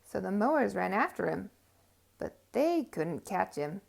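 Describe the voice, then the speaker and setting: A woman storyteller reading a children's tale aloud with expressive, emphatic phrasing. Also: mid-range voice, measured pace, gravelly.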